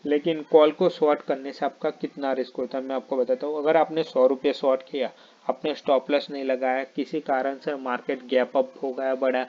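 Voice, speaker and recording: A man whose first language is not Hindi, explaining options trading in a halting, filler-heavy way and often checking in with "ठीक है". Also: mid-range voice, level tone, a little mellow, muffled recording. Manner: halting, many fillers and restarts, repeats "ठीक है". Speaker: trading teacher.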